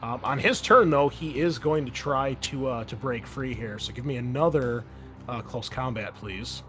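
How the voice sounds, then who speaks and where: A man's voice: medium pitch, normal speed, sharp tone. Male game master, conversational tabletop narration with explanations, faint music underneath. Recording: music playing behind the voice. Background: background music.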